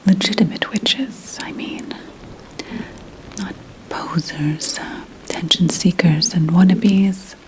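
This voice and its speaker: A woman playing a proud, secretive 17th-century witch healer, whispering slowly and formally in an ASMR roleplay. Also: on the low side, low-quality recording. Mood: confiding. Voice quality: breathy unvoiced whisper. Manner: deliberate, hushed, archly formal phrasing.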